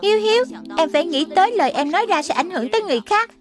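A woman's voice: extremely high pitch, lively intonation, rapid-fire, over music. A woman voicing a small, childlike lion spirit in a children's cartoon dub, explaining things earnestly and patiently like a teacher. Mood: earnest reproach. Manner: didactic, explanatory.

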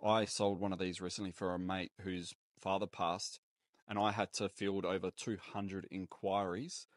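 A man's voice: sits low, level tone, moderate pace, no echo. A man with an Australian accent, chatting casually as an interviewer. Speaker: interviewer and video host.

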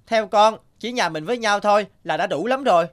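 A man voicing a cocky, argumentative young youngest brother in an animated folk tale, with a dismissive manner. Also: high-pitched, a little fast, lightly acted.

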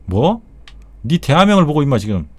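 A man's voice: on the high side, big pitch swings, brisk, slightly rough. A man in his forties, a game streamer, chatting casually with viewers in a joking, rambling way with blunt swearing. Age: 40s. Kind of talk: casual live-stream chat while gaming.

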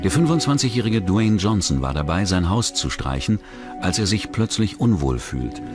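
A man's voice: low voice, very animated, normal speed. A man delivering scripted narration for a true-crime documentary in the role of narrator. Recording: narration over music bed. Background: music.

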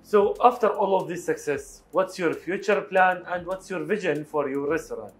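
A man's voice: high-pitched, faint music behind. A man hosting a business interview show, asking formal, scripted questions. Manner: rapid, scripted delivery.

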